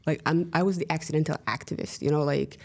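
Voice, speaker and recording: Woman from somalia, somali first language, a bit of fry in her voice, chatty and wry with lots of "like, you know". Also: low voice, fairly even intonation, fast, a little mellow. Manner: frequent "like, you know" fillers, wry humor. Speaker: guest. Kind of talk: casual conversation.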